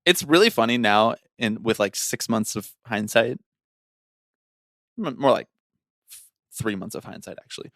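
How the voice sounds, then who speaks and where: A man co-hosting a podcast, talking casually about sports in an animated, wry, conversational way. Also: on the low side, normal speed, long pauses, no room sound, studio quality. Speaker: podcast co-host.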